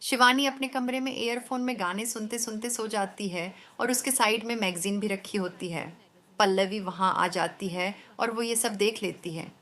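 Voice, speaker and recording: A woman's voice: mid-range voice, fairly even intonation, normal speed, a bit bright. A woman narrating a scripted story as the storyteller.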